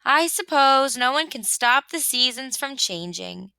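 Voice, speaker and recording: Woman as a grumbling, cranky storybook rabbit, high-voiced. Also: animated, unhurried, vocal fry, sharp tone, clean tone, no room sound, full character voice. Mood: resigned. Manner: grumbly, complaining, emphatic. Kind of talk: children's storybook read-aloud.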